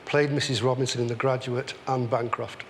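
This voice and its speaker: A man's voice: mid-range voice, flat delivery, brisk. A man on a quiz show, thinking aloud as a contestant. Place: large television studio. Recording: echoey studio, faint noise.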